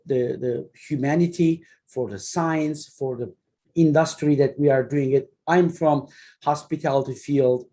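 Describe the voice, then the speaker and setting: A man in his forties, whose first language is Turkish, speaks English with a Turkish accent in a slightly high, mellow voice. He lectures earnestly as a journal editor in an online webinar, often pausing, restarting phrases and filling gaps with ums. The sound comes through a computer mic on a video call. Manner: earnest, halting explaining with many fillers and restarts.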